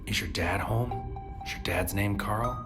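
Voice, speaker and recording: Low male lead acted by a man: wary noir investigator, brooding measured narration, sci-fi audio drama, music bed. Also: close to an everyday voice. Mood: gentle, careful. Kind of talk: first-person scripted audio drama narration, present tense.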